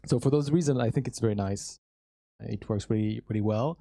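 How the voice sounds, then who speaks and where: A young man with a very dark, mellow voice answers as a panelist in a large hall. He explains technical points earnestly, with many fillers and restarts, into a handheld mic. The sound is dry and close. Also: medium pitch, fairly quick, vocal fry. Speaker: panelist, startup co-founder.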